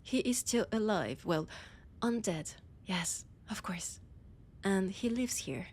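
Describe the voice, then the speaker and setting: Female vampire scholar performed by a woman, French accent, imperious, condescending, menacing, unhurried, husky breathy whispers, intimate audio drama. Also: medium pitch, lively intonation, darkish tone. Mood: haughty, storytelling. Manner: haughty, commanding, deliberate, often dropping to a whisper. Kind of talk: intimate scripted audio roleplay.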